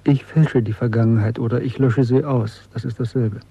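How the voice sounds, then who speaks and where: A bitter middle-aged secret rebel in a radio play, intense n deliberate, bit husky, dull dry sound. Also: male voice, medium pitch, fairly even intonation, mellow. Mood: bitter explaining. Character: disillusioned, bitter man of nearly forty, secretly rebellious clerk. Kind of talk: German radio drama acting. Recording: dry, muffled old radio production.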